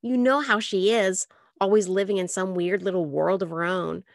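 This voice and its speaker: A woman's voice: mid-range voice, fairly even intonation, normal speed, creaky, no echo, studio quality, close to an everyday voice. A woman doing a teasing, offhand teenage brother.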